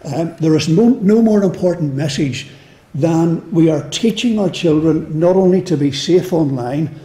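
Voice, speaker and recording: A man from Northern Ireland with a Northern Irish accent, answering on a panel at a measured pace with restarts and fillers. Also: fairly high pitch, mellow. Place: lecture hall. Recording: echoey hall.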